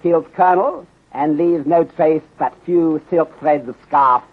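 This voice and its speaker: An actor playing a shrewd, courteous Chinese detective inspector in a 1930s radio serial, speaking formally and deliberately in clipped phrases that drop small words. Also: male voice, fairly high pitch. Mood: assured, explaining. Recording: muffled, husky-sounding 1930s radio recording.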